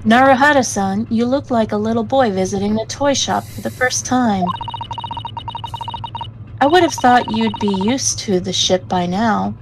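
A man voicing a courteous young Japanese woman assistant who speaks softly and formally. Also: high-pitched, a little slow, noisy background, voice pushed up. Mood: polite.

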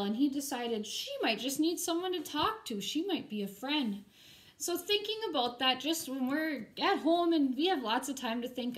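A woman teacher reading a picture book aloud to children expressively, with chatty, informal asides. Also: sits high.

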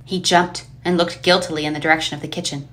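A woman reading scripted story narration with expressive, measured phrasing. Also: low voice, a little hiss or hum.

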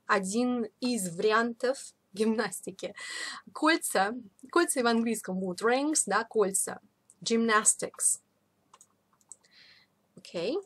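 A woman teaching a vocabulary lesson in an informal, explanatory way, repeating key words slowly for learners. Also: fairly high pitch, a bit bright. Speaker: English teacher. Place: voice-over of a screen recording.

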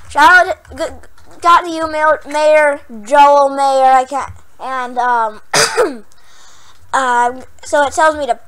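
A young woman playing a horror game, hoarse from losing her voice, jumpy and prone to yelps and squeals when startled, then chatty again. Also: sits high, sharp tone. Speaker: gaming channel host. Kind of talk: Minecraft horror map let's play.

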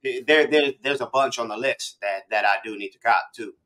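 Male host, middle-aged, American accent, mid-pitched voice; loose, halting, slangy interview chat over video call. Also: background noise. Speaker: interviewer, host of a hip hop show. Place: small room full of memorabilia, indoors, on a video call.

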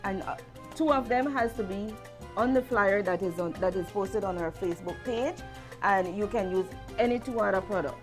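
A woman's voice: medium pitch, normal speed, over music. Friendly woman promoting a contest. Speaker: brand representative. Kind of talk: promotional talk on TV. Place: TV studio kitchen set.

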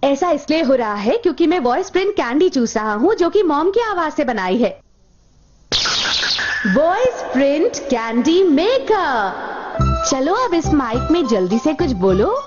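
High, swooping cartoon mother. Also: very animated, unhurried. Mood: explaining. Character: robot cat speaking in a strict mother's voice. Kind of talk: Hindi-dubbed cartoon acting. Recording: muffled TV rip, loud music behind.

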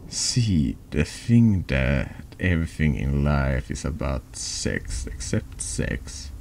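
A man's voice: rumbling low, big pitch swings, slow, gravelly, a little mellow, exaggerated voice. A man voicing a snide, needling man in a confessional for a horror text game. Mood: probing, cynical. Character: taunting, insinuating man in a confessional. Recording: noticeable background noise.

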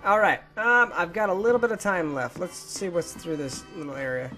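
A young man excitedly and chattily commenting as he plays a video game. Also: high-pitched, a little fast, low-quality recording. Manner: excitable, chatty, swears lightly. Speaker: let's play gamer. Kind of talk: casual gameplay commentary. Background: game music.

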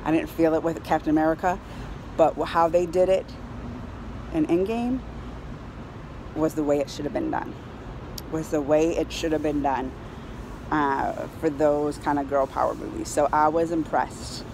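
A middle-aged woman giving a casual, excited review to camera in an animated, colloquial way. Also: low-pitched, moderate pace, pauses often. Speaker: vlogger reviewing a film. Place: outdoors on a high-rise terrace in a city. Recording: clear outdoor ambient city noise.

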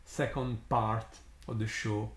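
Slow Italian-accented man, awed, echoey. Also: fairly low pitch, level tone. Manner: slow, halting, many fillers, awed. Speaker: astronomer hosting a live eclipse stream. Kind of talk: live-stream commentary.

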